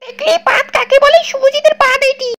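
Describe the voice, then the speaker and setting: A man voicing a cheeky, boastful young male cartoon troublemaker in a squeaky comic voice, given to taunts and exclamations. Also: level tone, a little fast, pushed and bright, full character voice. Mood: cocky, taunting. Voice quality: squeaky, very high-pitched cartoon voice.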